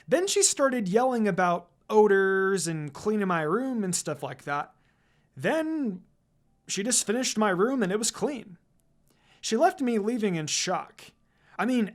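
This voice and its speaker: Female fan fiction reader, medium pitch, highly varied delivery, casual and dramatized storytelling. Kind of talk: expressive first-person story narration.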